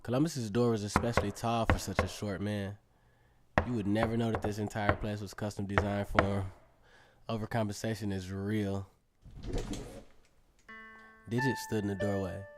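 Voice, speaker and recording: A man's voice: fairly low pitch, close to an everyday voice. An actor playing a cocky, dreamy teenage circus acrobat who narrates his own story in a casual, self-assured way for a radio drama. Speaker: narrator and lead character. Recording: music playing behind the voice. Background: instrumental music.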